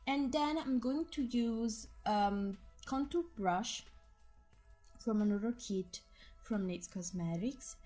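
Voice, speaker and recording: Hoarse young woman narrating makeup tutorial. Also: medium pitch. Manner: chatty and enthusiastic. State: hoarse, losing her voice. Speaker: beauty vlogger. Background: soft background music.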